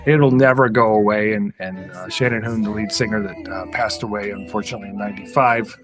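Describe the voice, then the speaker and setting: A man's voice: lowish voice, fairly even intonation, fairly quick, music in the background. A middle-aged man co-hosting a podcast in casual, conversational chat, reminiscing. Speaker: podcast co-host.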